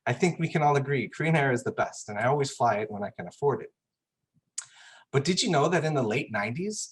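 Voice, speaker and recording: A man from Chicago giving a prepared presentation at a church forum, earnest and measured with occasional fillers. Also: medium pitch, slightly rough. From Chicago, United States.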